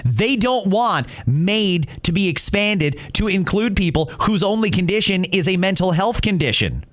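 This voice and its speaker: A man's voice: sits high, animated, normal speed. A man with a Canadian accent hosting an opinion podcast, speaking emphatically and with strong views. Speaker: podcast host. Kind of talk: opinion podcast commentary, reading listener messages.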